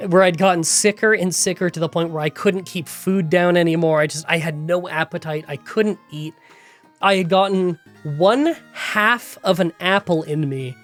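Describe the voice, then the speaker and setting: A gaming YouTuber with a nasal, congested voice from a cold, chatting through a challenge recap and rambling story into a close voiceover mic. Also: medium pitch.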